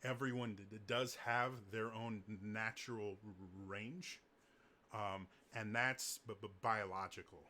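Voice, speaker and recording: A man with a naturally deep voice giving casual singing tips, stuttering often on the first sounds of words. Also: fairly even intonation, unhurried, bright, forceful tone. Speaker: singing coach. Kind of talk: casual advice talk to camera.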